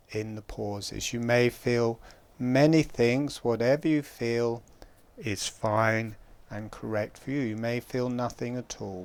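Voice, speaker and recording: A man guiding a breathing meditation, giving calm, gentle instructions with long pauses. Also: on the low side. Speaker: meditation guide.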